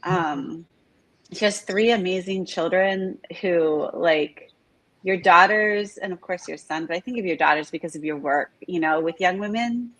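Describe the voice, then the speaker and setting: A woman hosting a live online interview in a chatty way, full of "like" and "um", her voice a little raspy from talking all morning. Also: lowish voice, unhurried, fairly bright tone, no room sound, rough recording. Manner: chatty, filler-heavy, says "like" often. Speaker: host of live interview series. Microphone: video call.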